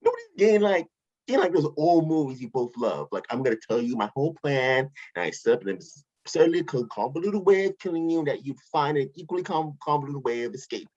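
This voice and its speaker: A smug, wisecracking villain mastermind played by an actor at a table read, husky and boastful. The pitch swings wildly from line to line. The audio is dull and lo-fi. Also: big pitch swings, fast talker, darkish tone. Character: smug, flippant villain mastermind, pleased with himself. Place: remote online table read.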